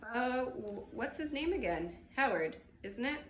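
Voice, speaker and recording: A woman's voice: a little high, lively intonation, fairly bright tone. A woman acts out a nervous middle-aged suburban husband from a storybook. He halts and mumbles, straining to seem casual, in a roomy, echoing space. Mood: falsely casual. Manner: halting, mumbling, falsely casual. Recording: roomy echo with faint background noise.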